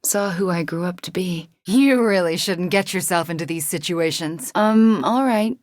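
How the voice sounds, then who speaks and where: A woman voicing a young sorceress heroine in a video game, guilt-ridden but earnest and wry, with a soft, hesitant, sincere way of talking. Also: on the low side, moderate pace, dry recording, studio quality, lightly acted. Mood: reflective.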